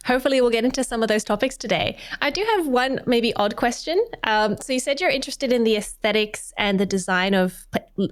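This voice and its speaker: A woman from Australia hosting a podcast, reading a scripted welcome and then asking questions in a friendly, slightly hesitant way. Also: fairly high pitch. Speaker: podcast host. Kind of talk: scripted intro then casual interview.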